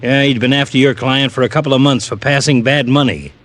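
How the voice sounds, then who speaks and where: An actor playing an exasperated but loyal police lieutenant in an old-time radio detective drama, with a blunt, irritated delivery. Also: male voice, mid-range voice, fairly quick, fairly bright tone, natural voice. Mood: businesslike.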